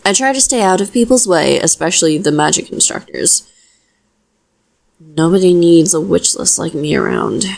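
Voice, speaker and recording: A woman playing a protective, self-deprecating young witch in an audio roleplay, talking softly and reassuringly to the listener. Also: on the low side, expressive, normal speed, creaky. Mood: sheepish, self-deprecating.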